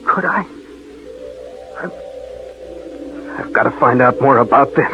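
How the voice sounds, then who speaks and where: A man's voice: medium pitch, animated, unhurried, loud music behind the voice. An American man playing a defensive police detective who was knocked out, in 1940s radio crime style. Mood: troubled. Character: dazed, defensive young police detective, knocked out and under suspicion. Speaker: radio actor. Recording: noisy, muffled old radio broadcast.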